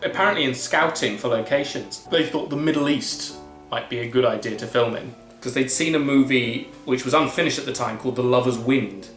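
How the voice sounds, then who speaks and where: A man's voice: medium pitch, a little fast, fairly bright tone, roomy sound, faint music behind. A man co-hosting a casual film podcast, talking wryly and quoting lines from the movie. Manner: wry, conversational, quotes film lines. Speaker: podcast co-host.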